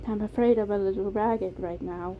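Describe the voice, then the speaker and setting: A woman voicing a shy, polite, gentle male animatronic with a soft British accent, in an amateur story reading. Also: medium pitch, steady tone, moderate pace, close to an everyday voice. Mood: shy, apologetic. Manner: soft-spoken, polite, hesitant. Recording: tinny phone-like audio, clear noise.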